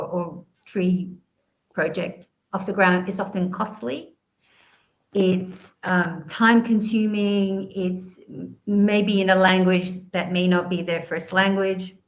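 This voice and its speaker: A woman's voice: lowish voice, rough recording. A woman from Southeast Asia, a guest answering interview questions in an earnest way, explaining at length with frequent ums.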